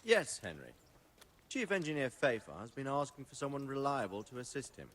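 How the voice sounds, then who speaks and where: A man's voice: medium pitch, huge pitch swings, a little fast, fairly bright tone, slight background noise. A man playing an obliging, tactful official in a medieval video game, speaking carefully and circumspectly. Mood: guarded. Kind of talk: dramatic video game acting.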